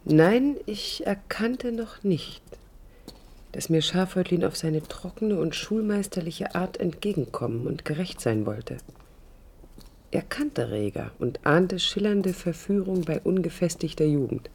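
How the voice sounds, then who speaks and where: A woman's voice: lowish voice, expressive, unhurried, a little mellow, slightly rough, heavily acted. An actress playing a young, idealistic woman architect who recalls her life in an intimate, literary radio play monologue, reflective and critical. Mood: reflective, wry. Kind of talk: 1980s radio play inner-monologue narration.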